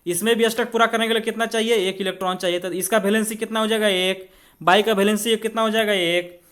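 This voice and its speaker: High-pitched Indian man teaching. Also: steady tone, fast talker, a bit bright. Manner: conversational, checks in with rhetorical questions. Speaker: exam coaching teacher. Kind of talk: spoken maths and chemistry tutorial.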